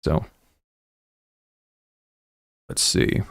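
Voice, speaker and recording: A man's voice: extremely slow, a bit bright, no echo, clean studio sound. An American man hosting a gaming livestream, chatting casually to viewers in a rambling, slangy, self-deprecating way. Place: indoors at a desk.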